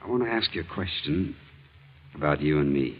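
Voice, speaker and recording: An actor playing an earnest, hesitant American bachelor, a shyly hopeful suitor in a 1940s radio drama. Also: male voice, extremely low pitch, expressive, brisk, heavily acted. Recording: muffled, noisy old radio recording.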